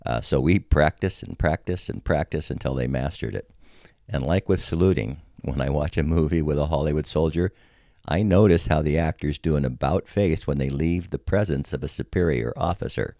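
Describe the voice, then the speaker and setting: Very deep, gravelly older American man. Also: moderate pace, dark tone, no echo, studio quality. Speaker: storyteller, army veteran. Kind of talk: personal reminiscence, podcast narration.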